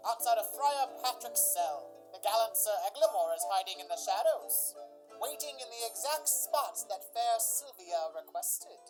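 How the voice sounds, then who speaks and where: Lively, fairly high woman radio announcer. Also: moderate pace, poor audio. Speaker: radio play announcer and narrator. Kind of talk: theatrical scripted narration, old-time radio. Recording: music under the voice.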